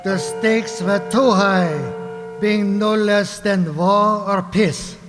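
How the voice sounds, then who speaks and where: A man playing a scheming onion ruler in a radio play, courteous and pompous with a smooth menace. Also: sits high, steady tone, slow, a bit bright, soft background music. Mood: pompous, persuasive. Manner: pompous, mock-genteel menace. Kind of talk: theatrical radio drama acting. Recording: echoey hall with long reverb.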